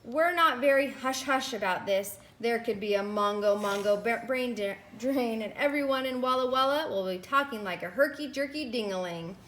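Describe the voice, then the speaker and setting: A brisk, no-nonsense school nurse, played by a woman reading a storybook, talks in a high voice. She is insistent and emphatic, even while using silly words. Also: a little hiss or hum, close to an everyday voice. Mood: stern, urgent.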